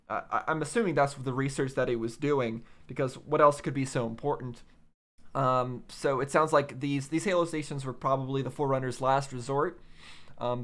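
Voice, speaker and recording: A man with a medium-pitched voice hosts a reaction video. He talks casually and thoughtfully, halting as he works ideas out aloud. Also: a bit bright.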